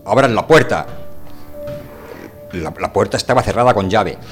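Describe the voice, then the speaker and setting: A man acts out a wary scientist from an austere world in a radio drama. His voice sits very low, and he questions things haltingly and stubbornly. Music plays faintly beneath him. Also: animated, lightly acted. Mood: questioning, uneasy. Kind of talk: amateur radio drama reading.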